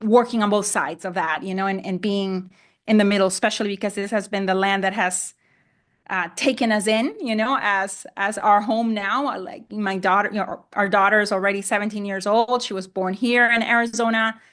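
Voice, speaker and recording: Middle-aged Honduran-born woman, halting conversational delivery. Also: mid-range voice, fairly even intonation, a bit bright. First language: Spanish. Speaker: podcast guest, land investor. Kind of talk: conversational interview talk.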